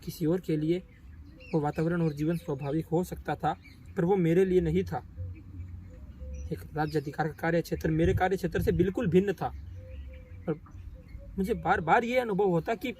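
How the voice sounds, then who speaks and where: Male actor as tormented, self-doubting poet in a stage drama; high voice, solemn and emotional, pausing heavily; light birdsong noise. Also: fairly even intonation, darkish tone, natural voice. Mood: subdued sorrow. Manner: solemn, halting, emotional delivery with dramatic pauses. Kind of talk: theatrical stage monologue in formal literary Hindi.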